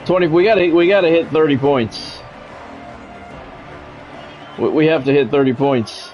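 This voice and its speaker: A man's voice: a little high, normal speed, darkish tone. Man calling sports game action, music behind. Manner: radio-style play-by-play calls in short clipped phrases, with salty asides. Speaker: gaming streamer and play-by-play commentator. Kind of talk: live sports video game commentary mixed with casual chat.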